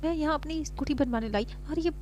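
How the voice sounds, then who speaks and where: A woman's voice: sits high, quick pace, darkish tone, slight background noise, pitched above the performer's own voice, natural voice. A woman voicing a hot-tempered young woman who begs a mechanic to fix her broken scooter. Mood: pleading. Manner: whiny begging, then snapping back.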